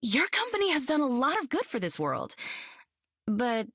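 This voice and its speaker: A woman's voice: high-pitched, huge pitch swings, normal speed, fairly bright tone, no echo, studio quality, full character voice. A woman voicing a frank, curious young superhero in a video game, with a youthful, candid delivery. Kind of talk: video game character acting.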